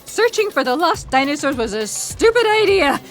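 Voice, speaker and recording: An actress plays a spirited woman paleontologist in a radio adventure. Her high voice swoops around as she teases and complains. Faint music plays behind her. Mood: exasperated. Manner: animated, complaining, quick to laugh. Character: exasperated, teasing woman paleontologist on desert search. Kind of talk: audio theatre acting.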